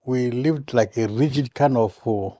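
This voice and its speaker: A man's voice: mid-range voice, unhurried, warm tone, no echo. Man, from eastern Uganda, talk show guest, joking, storytelling, dry sound. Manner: humorous, storytelling. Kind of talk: casual interview.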